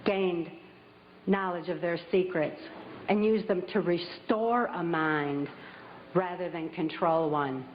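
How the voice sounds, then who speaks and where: A woman delivers an emphatic public speech in a deliberate manner, her slightly low voice trailing into a long hall reverb. The recording sounds dull, and faint music sits underneath. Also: steady tone, slight background noise. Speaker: speaker giving testimony.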